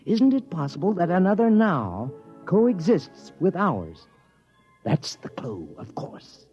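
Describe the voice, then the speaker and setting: A man's voice: sits high, big pitch swings, slow pace, mellow, full character voice. An actor playing an anxious father and time-travel experimenter in 1950s radio drama style, with an American accent. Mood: brooding, desperate. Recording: vintage radio broadcast, dull, music underneath.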